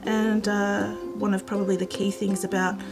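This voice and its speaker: Measured woman artist over guitar music. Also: medium pitch, little pitch movement. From Uruguay. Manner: thoughtful, measured, with pauses. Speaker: textile artist. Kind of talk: reflective interview. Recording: music bed under voice.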